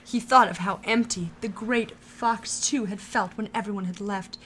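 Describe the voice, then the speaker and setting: A woman reading the narration of an audio drama, calm and even, in a middle pitch. A faint background hiss sits under her voice. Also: normal speed. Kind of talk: storybook narration.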